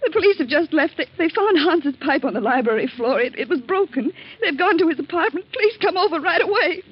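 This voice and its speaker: A woman's voice: extremely high pitch, animated, brisk. A woman acting an anxious, shaken young fiancée in an old-time radio drama, faltering and pleading. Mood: distraught. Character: anxious young Austrian fiancée, shaken by her uncle's murder. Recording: dull noisy old radio recording.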